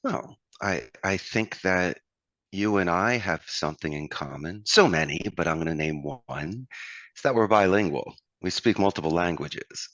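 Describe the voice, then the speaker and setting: Deep voiced philly guy, motivational interview talk, dry dull audio. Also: animated, normal speed, a bit bright, no room sound. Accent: Philadelphia. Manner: expansive, motivational, addresses host by name. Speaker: call center owner, guest. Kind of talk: conversational interview.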